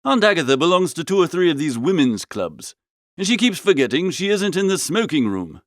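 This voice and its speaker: A man's voice: on the high side, big pitch swings, a little fast, dry recording, clean studio sound. A man reading a first-person comic story as an audiobook, with a breezy, theatrical manner. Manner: breezy, theatrical, old-fashioned upper-class idiom. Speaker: audiobook reader.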